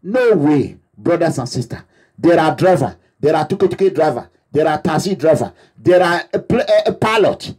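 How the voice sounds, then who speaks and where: A man's voice: fairly high pitch, animated, moderate pace, darkish tone, slightly rough. A Nigerian man, an activist leader, giving an impassioned, repetitive address to his supporters in a preaching manner. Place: abroad, away from home.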